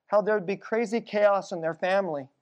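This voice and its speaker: A middle-aged woman who grew up in the United States preaches in a relaxed, storytelling way. Her voice sits at an ordinary middle pitch. She leans into anecdotes and goes quiet and low when a story turns dark. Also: a little fast. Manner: conversational, storytelling, drops to a hushed low voice for eerie moments. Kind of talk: sermon with personal stories.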